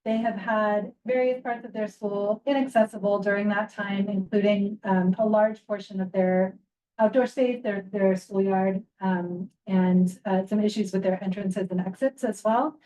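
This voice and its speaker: A woman with a soft voice giving a school update in an online meeting, with fillers between phrases. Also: mid-range voice, steady tone, low-quality recording. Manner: halting, with fillers. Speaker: council member giving an update. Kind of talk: virtual meeting report.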